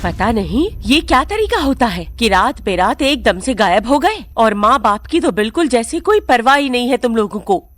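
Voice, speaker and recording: A woman's voice: on the high side, very animated, normal speed, hardly any pauses. A woman acting a scolding, nosy middle-aged mother with an Indian accent in an audio drama, indignant and blunt. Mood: angry scolding.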